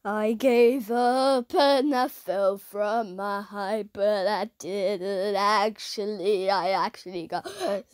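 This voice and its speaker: A woman giving playful, dramatic commentary over a video game, her voice croaky and strained. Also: mid-range voice, steady tone, takes it slowly, hardly any pauses, no echo, clean studio sound. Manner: dramatic, silly, swings between shouting and whispering. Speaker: gaming YouTuber.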